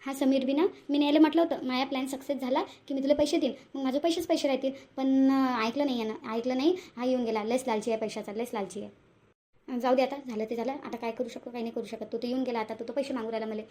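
A woman's voice: high-pitched, steady tone, fast talker, warm tone. A woman voicing a scheming, wheedling young cartoon character who repeats herself, speaking Marathi with a Varhadi accent from Vidarbha. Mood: worried scheming. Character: scheming young woman sweet-talking for money. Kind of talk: amateur cartoon voice-over.

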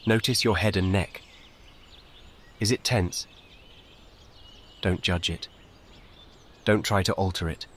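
A man guides a meditation as scripted narration, speaking calmly in measured, soothing, short and gentle instructions. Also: low-pitched, slight background noise.

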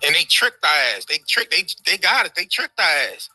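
An American man co-hosts a casual livestream in a high, piercingly bright voice. His talk is slangy and sweary, circling back on the same phrases. The sound is dry and close. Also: animated, fairly quick, slightly rough, no echo, rough recording. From United States. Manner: slangy, profane, repetitive. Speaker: co-host. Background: faint background music.

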